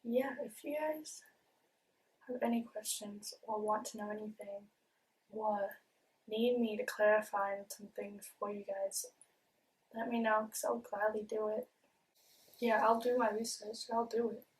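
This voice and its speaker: A woman's voice: mid-range voice, steady tone, a little slow, long pauses, recorded from a distance, a little hiss or hum, low-quality recording. A young woman vlogging casually to camera with a speech impediment that blurs some sounds, earnest and open, with many ums and repeated words. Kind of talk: casual confessional talk to camera.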